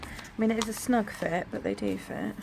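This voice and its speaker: Croaky low-ish girl rambling on about her planner, bit gravelly, faint traffic hum behind. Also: very animated, moderate pace. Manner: chatty, rambling, soft-spoken. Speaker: planner vlogger. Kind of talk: casual chat while decorating a planner. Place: home room near a busy road. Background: traffic noise.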